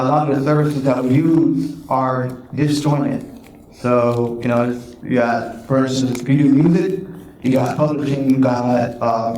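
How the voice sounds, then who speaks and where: A man, a software developer on a conference panel, speaking haltingly with many fillers. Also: mid-range voice, a little hiss or hum. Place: conference hall. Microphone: handheld mic, picked up from afar. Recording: roomy hall sound, faint ambience.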